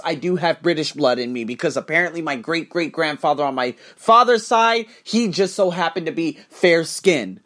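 A man hosting a podcast, delivering an emphatic, profane monologue to camera with sudden rises in pitch and frequent restarts. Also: fairly bright tone. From United States. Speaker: podcast host.

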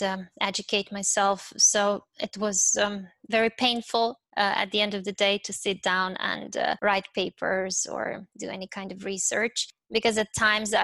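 A middle-aged woman with a Serbian accent answers as an interview guest. Her voice has a lot of fry and moves very little in pitch. She pauses to search for words, and the sound is close and dry. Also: a bit bright, no echo. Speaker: interview guest, university professor.

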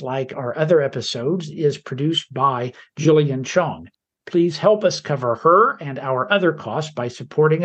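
A man co-hosting a podcast, reading an introduction in a measured, slightly halting way. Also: medium pitch, fairly bright tone. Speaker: podcast co-host.

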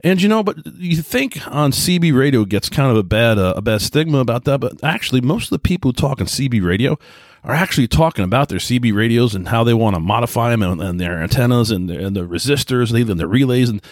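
A middle-aged man with an American accent talking casually and informally in a rambling monologue, often halting and rephrasing himself. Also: mid-range voice, animated, dry recording, clean studio sound. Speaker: podcast host, radio hobbyist.

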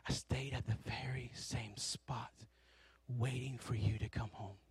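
A man voicing a tender, fatherly male God who softly pleads with his son to come home. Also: mid-range voice, fairly even intonation, unhurried, slightly rough, pitched below the performer's own voice. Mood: tender, pleading. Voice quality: soft and whispery. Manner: pleading, gentle. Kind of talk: acted quote within a sermon.

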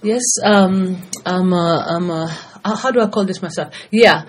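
A woman's voice: on the low side, measured pace, slight background noise. A middle-aged woman from Somalia, a guest speaking earnestly and passionately in a podcast interview. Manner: earnest, passionate, talkative, frequent restarts. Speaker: guest, anti-FGM campaigner. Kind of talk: podcast interview, personal testimony.

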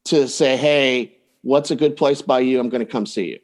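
A man's voice: medium pitch, moderate pace. A man speaking as a coach and podcast guest, giving plain-spoken advice in casual talk and acting out example lines.

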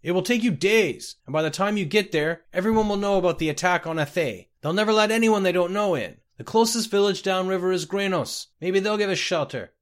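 A man's voice: mid-range voice, animated, moderate pace, close to an everyday voice. A man voicing a drunken, world-weary elf sea captain with a slurred, grumbling manner in an audiobook story. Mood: urgent, wry. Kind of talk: audiobook character voice.

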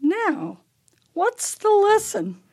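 A woman's voice: very high voice, huge pitch swings, very slow, darkish tone, faint music behind, full character voice. A woman playing an imperious, brittle older wife with a failing memory in a radio play, her delivery theatrical and needling. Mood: teasing, bright.

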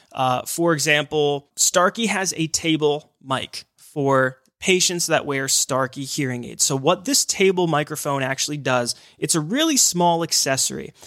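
Man, hearing care professional, earnest and encouraging, educational podcast talk, fairly high voice, dry close sound. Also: fairly bright tone, no room sound.

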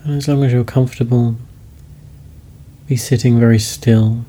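A man leading a guided meditation, speaking slowly and calmly in soft instructions. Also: mid-range voice. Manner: slow, calm, soft-spoken instructions.

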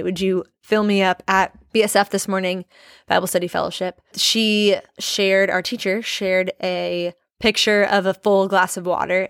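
A young woman in her late twenties talks through a faith podcast, earnest and prayerful, reflecting aloud. Her voice sits at an ordinary middle pitch, recorded close and dry with no room sound. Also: no echo. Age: 20s. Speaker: podcast host. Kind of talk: devotional podcast monologue.

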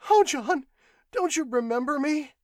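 High tearful wife voice from a man reading aloud, desperate, sobbing, dry close sound. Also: sing-song, moderate pace, no room sound, exaggerated voice. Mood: pleading. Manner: pleading, tearful, high-pitched. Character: sobbing desperate woman claiming a husband.

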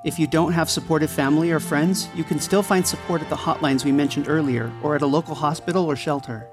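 Earnest man, fairly high voice, scripted announcement. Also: fairly even intonation, fast talker, music in the background. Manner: earnest, supportive. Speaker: podcast cast member and announcer. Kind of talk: scripted outro message.

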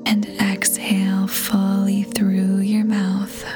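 A woman leads a guided meditation in a soft, breathy near-whisper. Her delivery is very slow and soothing, with gentle pauses and hardly any rise or fall in pitch. Faint music plays behind her. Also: monotone. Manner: soothing, unhurried, gentle pauses between phrases. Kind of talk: scripted guided meditation, ASMR-like. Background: soft new-age music.

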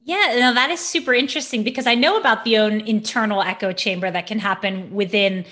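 Female podcast host, average pitch, casual and affirming interviewer with informal, layered questions. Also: brisk, pushed and bright.

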